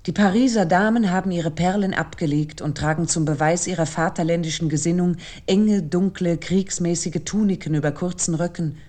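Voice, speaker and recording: A woman reading scripted literary commentary for radio in a measured, essayistic manner. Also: fairly low pitch, fairly even intonation, mellow. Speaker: commentator narrator.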